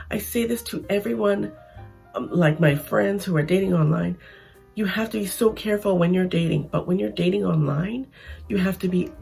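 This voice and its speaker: A Canadian woman talking through an opinion vlog in a chatty, emphatic way, hoarse from losing her voice. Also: fairly low pitch, dark tone. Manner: chatty, emphatic, frequent restarts. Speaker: vlogger.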